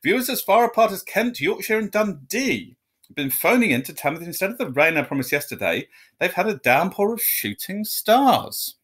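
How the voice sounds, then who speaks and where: A man's voice: high voice, big pitch swings, moderate pace, fairly bright tone, husky, pitched above the performer's own voice, exaggerated voice. A man voicing a chatty, jovial television weatherman in a storybook reading. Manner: chatty, jovial broadcast patter. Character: chirpy, joking television weatherman.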